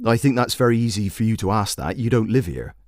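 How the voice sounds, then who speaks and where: A man's voice: lowish voice, lively intonation, fast, darkish tone, dry recording, clean studio sound, close to an everyday voice. A man voicing a defensive, bigoted radio caller in his forties, blunt and grumbling. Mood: angry, defensive.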